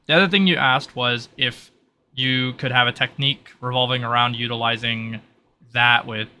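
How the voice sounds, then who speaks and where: A man's voice: medium pitch, bright, forceful tone. A man acting as game master, casually explaining tabletop rules over a noisy home mic.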